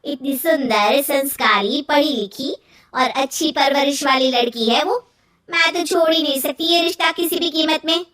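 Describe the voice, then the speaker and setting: A woman acts out an emphatic, protective village mother-in-law from a cartoon moral tale. Her voice is high and exclaiming, in a roomy, echoing space. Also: moderate pace, a bit bright. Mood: insistent. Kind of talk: animated moral story dialogue. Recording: roomy echo, distant from mic.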